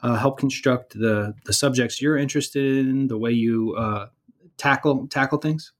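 Male interviewer, mid pitch, hesitant delivery with fillers. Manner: halting, hesitant questions.

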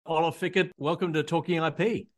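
A man's voice: fairly high pitch, steady tone, brisk. An Australian man hosting a podcast, reading a scripted intro and then chatting in a friendly, jokey way. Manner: friendly, jokey, laughs easily. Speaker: podcast host. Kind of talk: scripted intro and casual interview chat.

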